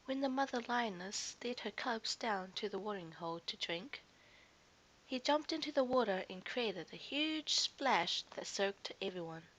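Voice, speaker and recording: A woman's voice: medium pitch, expressive, normal speed, a bit bright, poor audio. A woman narrating a scripted children's story expressively, as a storyteller to young listeners.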